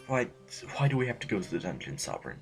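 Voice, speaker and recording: An actor playing a fussy, timid, snobbish aristocratic politician in an audio drama, wordy and pompous with a stammer. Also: male voice, low-pitched, gravelly, heavily acted.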